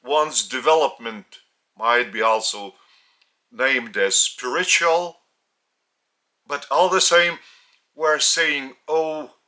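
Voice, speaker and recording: A man from Lithuania with a Lithuanian accent, a spiritual teacher speaking in short, halting phrases, his native language being Lithuanian. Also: mid-range voice, pushed and bright. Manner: halting, phrase-by-phrase delivery with pauses. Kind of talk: slow spiritual teaching talk.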